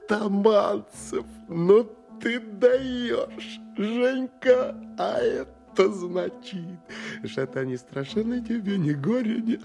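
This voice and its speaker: An actor playing a wry, emotional young military scout, musing colloquially in a first-person dramatic monologue. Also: male voice, high-pitched, creaky, darkish tone, full character voice. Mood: wry, agitated. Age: 20s. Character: wry, emotional 25-year-old counterintelligence scout recounting a stakeout. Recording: music behind the voice.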